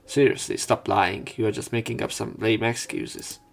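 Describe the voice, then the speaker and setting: A man's voice: on the low side, fairly even intonation, normal speed, gravelly. A man hosting a let's play, reading visual novel lines aloud with casual commentary.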